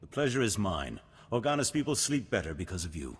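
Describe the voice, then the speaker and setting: An actor playing a noble, resolute duke in a video game, husky, formal and stately in delivery. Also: male voice, lowish voice, big pitch swings, brisk, full character voice. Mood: courtly. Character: noble, resolute older duke, solemn war leader. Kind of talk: cinematic video game voice acting. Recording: long hall reverb, music behind.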